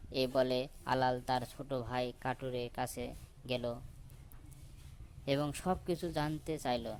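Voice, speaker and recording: Slow-paced man telling a children's tale. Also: medium pitch, steady tone, a little mellow, slight background noise. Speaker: story narrator. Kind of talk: children's folk tale narration.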